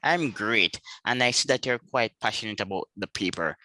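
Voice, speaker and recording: A man's voice: lowish voice, normal speed, bright, forceful tone, no room sound. A man hosting an interview, introducing his guest and putting questions to him. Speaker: interview host.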